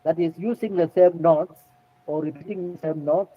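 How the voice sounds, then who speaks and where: A man lecturing to students, explaining things deliberately and repeating key points. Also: on the high side, fairly even intonation, a little hiss or hum. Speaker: music lecturer. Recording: tinny phone-like audio.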